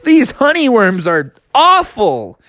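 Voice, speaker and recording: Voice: mid-range voice, wildly swooping pitch, takes it slowly, fairly bright tone, no room sound, pitched above the performer's own voice, heavily acted. A parent telling a bedtime story, voicing a whiny young bear cub. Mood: disgusted. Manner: whiny, disgusted.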